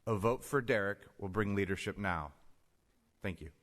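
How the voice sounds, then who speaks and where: A man's voice: on the low side, moderate pace, a bit bright. A middle-aged man giving a prepared opening statement as a candidate for mayor. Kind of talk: prepared campaign speech.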